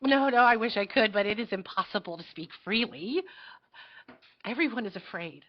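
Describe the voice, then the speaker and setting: An earnest, idealistic young girl, played by a woman, fearful yet pleading. The voice is somewhat high and moves haltingly through a dramatic table read. The recording is slightly dull. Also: expressive. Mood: anxious, hopeful. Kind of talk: live table read of a satirical play. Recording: dull lo-fi audio.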